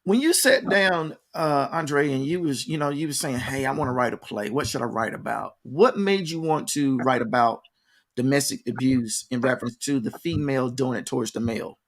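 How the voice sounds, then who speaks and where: An American man hosting a casual interview, asking questions in informal, halting phrases. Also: mid-range voice. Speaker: interviewer, host.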